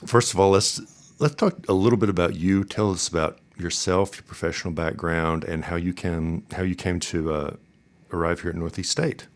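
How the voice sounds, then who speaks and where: A man's voice: low-pitched, fairly even intonation, moderate pace. A man hosting an interview podcast, introducing and questioning a guest. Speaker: podcast host.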